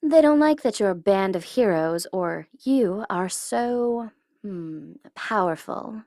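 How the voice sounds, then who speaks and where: Female supervillain , acted by a woman, ASMR roleplay. Teasing menace with a secret soft spot, deliberate slow delivery, close dry sound. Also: mid-range voice, lively intonation, no echo. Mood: sly, persuasive. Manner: taunting, coy, commanding, with drawn-out deliberate phrasing.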